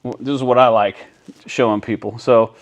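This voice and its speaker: A man's voice: medium pitch, steady tone, moderate pace. A man working as an air traffic controller, giving a casual, plain-spoken walkthrough and often checking that the listener follows. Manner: plain-spoken, explaining step by step with checking questions. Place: inside an airport control tower.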